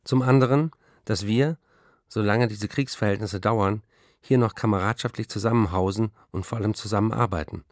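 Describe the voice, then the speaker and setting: A man's voice: on the low side, moderate pace, no echo, clean studio sound, lightly acted. An actor playing an embittered, weary male writer who reads out his letters in a radio drama. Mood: matter-of-fact, aggrieved.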